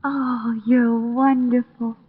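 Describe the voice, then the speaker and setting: An actress playing an adoring young damsel rescued from gangsters in a 1940s radio drama. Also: female voice, on the high side, level tone, takes it slowly, a little hiss or hum. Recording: muffled old radio broadcast, faint music.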